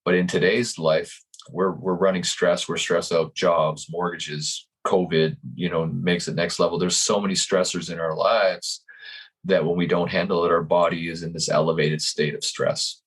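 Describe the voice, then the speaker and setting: A man in his fifties, a life coach, giving calm and earnest interview answers. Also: low voice, slightly rough. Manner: calm, earnest, speaks slowly in thought.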